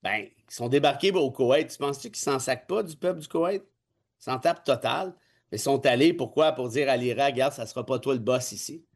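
A man's voice: medium pitch, brisk. Blunt, cynical Québécois man. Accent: Québécois French. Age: 40s. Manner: emphatic, cynical, colloquial. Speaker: guest, constitutional law expert. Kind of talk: casual opinion talk.

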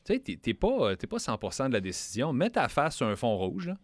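A man's voice: medium pitch, huge pitch swings, brisk, no echo. A man with a Quebec French accent, a member of parliament giving a casual radio interview, earnest and often repeating words as he starts sentences. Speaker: federal member of parliament, guest.

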